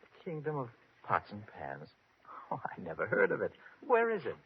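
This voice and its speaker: An actor playing a courtly, romantic and earnest young prince in an old-time radio drama. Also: male voice, on the low side, wildly swooping pitch, moderate pace. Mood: charmed, romantic. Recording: dull old radio recording with hiss.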